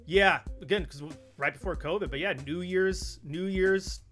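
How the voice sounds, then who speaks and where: A man telling stories casually on a podcast in an animated way, acting out the dialogue he quotes. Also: high-pitched, moderate pace, faint music behind. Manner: animated storyteller who acts out quoted dialogue. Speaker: podcast co-host.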